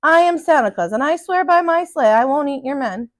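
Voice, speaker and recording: A woman's voice: high voice, lively intonation, brisk, no room sound, studio quality, pitched above the performer's own voice. A woman voicing a big, kindly, reassuring Santa Claus from a children's storybook in a hearty way.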